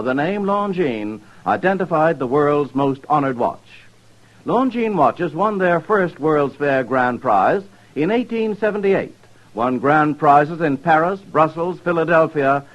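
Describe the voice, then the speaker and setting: A man's voice: on the high side, highs cut off. A formal, polished American man reading a scripted mid-century radio commercial on an old recording. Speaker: commercial announcer. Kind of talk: 1950s radio advertisement, scripted.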